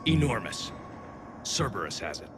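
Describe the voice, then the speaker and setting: A man's voice: mid-range voice, big pitch swings, normal speed, music in the background, lightly acted. A man voicing an earnest, burdened alien lieutenant in a video game, speaking in a grave, dutiful, formal way.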